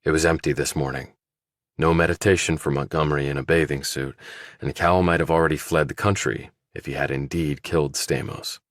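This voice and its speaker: A man's voice: a bass voice, steady tone, brisk, fairly bright tone, no room sound, clean studio sound. A man reading a scripted thriller audiobook as its narrator.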